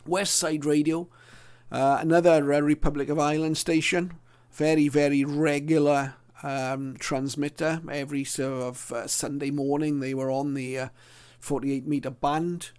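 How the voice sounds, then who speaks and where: A middle-aged man with a voice a little higher than most. He talks casually to his viewers as a hobbyist looking back, pausing and feeling his way through in a thoughtful manner. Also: little pitch movement, darkish tone, smooth. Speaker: radio hobbyist presenter. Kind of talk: casual reminiscing explainer to viewers.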